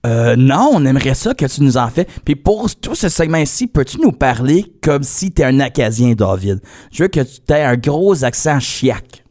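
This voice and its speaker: Canadian French male podcast host, mid-pitched. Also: big pitch swings, normal speed, a little mellow. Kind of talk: casual interviewing.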